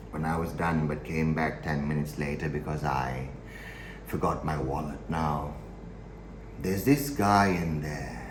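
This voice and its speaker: A man performing a theatrical monologue as a chillingly matter-of-fact, darkly amused killer, with deliberate dramatic pauses and shifts between hushed and forceful delivery. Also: extremely low pitch. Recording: roomy echo, faint background noise.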